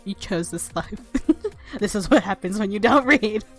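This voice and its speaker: A woman with a medium-pitched voice reads a visual novel aloud for a let's play. Her delivery shifts between narration and playful, casual commentary, with some game music in the background. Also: expressive, unhurried, a bit bright.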